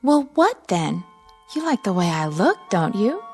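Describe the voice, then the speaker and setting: An actress playing a breezy, pushy young friend in a radio drama, insistent and dismissive. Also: female voice, medium pitch, very animated, unhurried, a little mellow, soft background music. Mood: breezy, coaxing. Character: breezy conformist young woman, pushy, impatient best friend.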